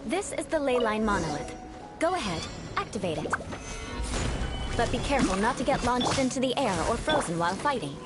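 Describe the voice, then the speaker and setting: Poised, lively aristocratic young knight. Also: female voice, a little high, fairly quick, music in the background. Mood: cool, composed. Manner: formal, refined, instructive. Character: poised young aristocratic female knight, haughty, refined, teasing. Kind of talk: anime-style game voice acting.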